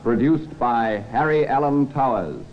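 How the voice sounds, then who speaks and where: A man's voice: medium pitch, expressive, measured pace. A man announcing a 1950s radio programme in a formal style. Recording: dull old radio broadcast recording. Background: prominent music.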